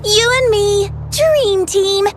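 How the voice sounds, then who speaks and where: A woman's voice: very high-pitched, slow pace, noisy background. A woman voicing a cheeky, boastful young girl adventurer in a video game, teasing and self-assured. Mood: teasing, eager. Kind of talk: video game character dialogue.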